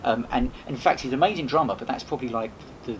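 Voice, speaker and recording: A man telling rambling anecdotes in a casual podcast chat, animated and full of restarts. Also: mid-range voice, fast. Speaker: podcast host and guest. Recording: noisy background.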